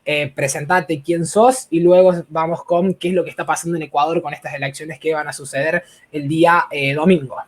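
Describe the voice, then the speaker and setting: A man's voice: on the high side, fairly even intonation, a little fast, hardly any pauses. A man with an Argentine accent hosting a live interview in a rambling way, with fillers and restarts. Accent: Argentine Spanish. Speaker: host. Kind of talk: casual interview talk.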